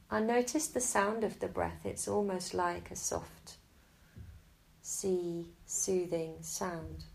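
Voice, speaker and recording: A woman guiding a Yoga Nidra relaxation in a slow, soft and soothing way. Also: mid-range voice, gravelly, poor audio. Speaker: meditation guide.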